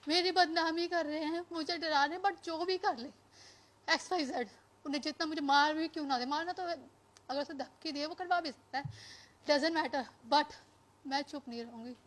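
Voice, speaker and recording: A woman with a high voice makes a public statement. She speaks defiantly and emphatically, dropping in English words, as a complainant addressing the media. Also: normal speed. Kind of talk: impassioned spoken statement to media.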